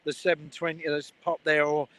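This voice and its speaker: Upbeat man hosting an outdoor stream, fairly high, bright and forceful tone. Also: completely flat delivery, brisk. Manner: upbeat, laughs often, reads viewer comments. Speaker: host. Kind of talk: casual livestream chat. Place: outdoors at a railway station. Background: trains.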